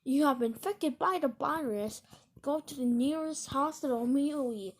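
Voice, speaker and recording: A woman's voice: sits high, normal speed. A woman reading a scripted emergency broadcast announcement in a formal, stilted, solemn way.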